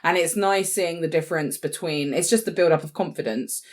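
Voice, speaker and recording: A woman's voice: lowish voice, fairly quick. A British woman in her late twenties chatting casually as a podcast co-host, in a chatty, informal way. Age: late 20s. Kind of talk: casual chat.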